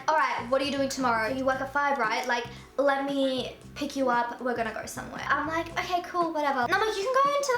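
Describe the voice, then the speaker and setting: A young woman from Russia telling a storytime in casual chat, full of "like" and lively quoted voices. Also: roomy sound. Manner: chatty, fills speech with "like", jumps high for quoted voices. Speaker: vlogger.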